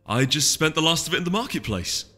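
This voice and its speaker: A man's voice: fairly high pitch, lively intonation, fast, bright, forceful tone, voice pushed up. A man voicing an amiable young male winged unicorn in a storybook reading, polite, placating and hesitant. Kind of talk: audiobook character voice. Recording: echoey hall reverb, music behind.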